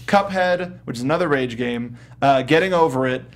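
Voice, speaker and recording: A man's voice: medium pitch, normal speed. A man hosting a casual gaming show from a studio, talking in a rambling, hesitant, enthusiastic way. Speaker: show host.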